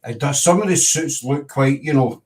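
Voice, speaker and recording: A man's voice: mid-range voice, normal speed, a little mellow, distant mic. A Glaswegian Scottish man hosting a casual livestream chat, rambling and breaking off to greet viewers. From Scotland. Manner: rambling, self-interrupting, chatty with viewers. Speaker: host.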